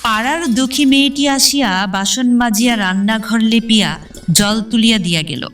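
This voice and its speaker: A woman's voice: fairly high pitch, normal speed, a bit bright, far from the mic. A woman reading literary narration in a dramatic, scripted audio-story style. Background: background instrumental music.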